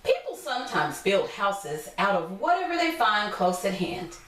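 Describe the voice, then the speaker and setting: A woman's voice: medium pitch, sing-song, moderate pace, a bit bright, a little hiss or hum. Woman reading aloud to children, echoey room. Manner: instructive, repeats and explains points simply. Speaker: teacher reading a picture book aloud to young children. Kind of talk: read-aloud with explanatory asides to children. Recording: echoey room, distant from mic.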